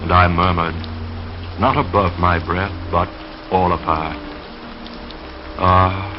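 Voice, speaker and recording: A man reading poetry and sponsor messages as a late-night 1930s radio announcer, in a dreamy, solemn, drawn-out delivery. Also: low voice, little pitch movement. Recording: muffled vintage radio sound over music. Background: dreamy music.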